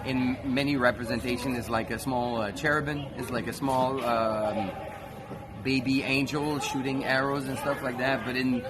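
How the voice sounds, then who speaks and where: A man's voice: mid-range voice, fairly even intonation, moderate pace. A man with a light Quebec French accent, chatting casually on a live stream, rambling and correcting himself. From French Canada. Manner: rambling, many restarts and self-corrections. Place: busy city street outdoors. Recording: loud street crowd and traffic.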